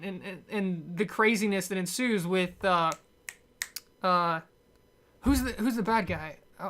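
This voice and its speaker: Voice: medium pitch, fairly bright tone. A movie reviewer giving a casual, unscripted rant, rambling and blunt, with frequent chuckles.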